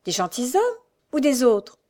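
A woman's voice: a little high, lively intonation, normal speed, warm tone, voice pushed up. A woman voicing an earnest, humble young workman. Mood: concerned. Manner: earnest, eager, rustic colloquial speech. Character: kind-hearted, humble young male lame knife-grinder.

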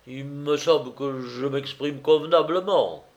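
Man acting a loud, slurring drunken gentleman. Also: on the high side, measured pace, voice pushed up, natural voice. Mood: awkward politeness. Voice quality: slurred, thick consonants. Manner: stammering, effusive, mock-dignified. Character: drunken, maudlin, magnanimous master of the house.

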